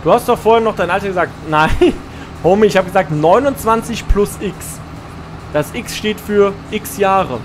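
Guy streaming a farm game, chatty, reads chat and replies, kinda high voice, noisy mic. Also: normal speed. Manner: chatty, answers chat messages while playing. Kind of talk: live gameplay stream, reading chat.

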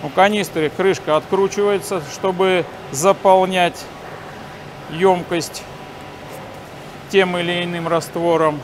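A man in his forties giving a halting, step-by-step hands-on demonstration while he works. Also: high-pitched, steady tone. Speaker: instructor demonstrating sawmill assembly. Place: large factory workshop hall, indoors. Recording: noisy industrial workshop ambience. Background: clear workshop noise.

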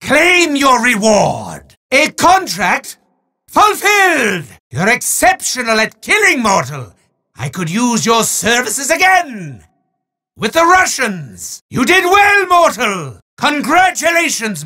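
Raspy, wildly theatrical evil wizard. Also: male voice, extremely high pitch, exaggerated voice. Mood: triumphant. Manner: theatrical, drawn-out proclamations breaking into shouts. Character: bombastic, hammy evil male wizard, boastful and petty. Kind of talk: video game villain voice acting.

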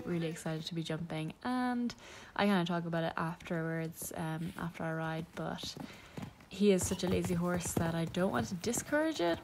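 Chatty young woman with a gravelly edge. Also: on the low side, a little mellow, a little hiss or hum. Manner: casual, chatty, many ums and small laughs. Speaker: equestrian vlogger. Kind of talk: voice-over talking through a ride.

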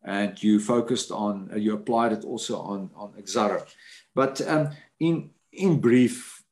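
A lowish male voice moderating a panel on a video call. He speaks in a halting way, restarting phrases and filling gaps with "uh" and "um" as he builds up to his question. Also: darkish tone. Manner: halting, with many fillers and repeated words. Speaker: moderator of a book launch panel.

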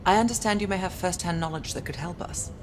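A woman playing a measured, analytical intelligence agent in a video game, with a British accent and formal, precise speech. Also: lowish voice, natural voice. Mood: composed. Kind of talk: video game cutscene acting. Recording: clear background noise, room ambience.

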